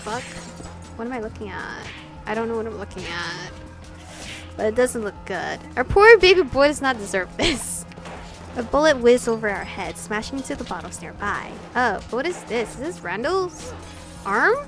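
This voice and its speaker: High-voiced woman narrating over music. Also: normal speed. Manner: sweary, giggly, expressive reactions. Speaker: gaming video host. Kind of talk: visual novel let's play, reading aloud with reactions. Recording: music playing behind the voice. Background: background pop music.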